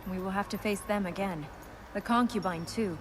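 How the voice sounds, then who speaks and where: An actress voicing a brave, earnest young princess in a video game, serious and matter-of-fact. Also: female voice, medium pitch, moderate pace, lightly acted. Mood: earnest, practical. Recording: game audio with noisy ambience behind.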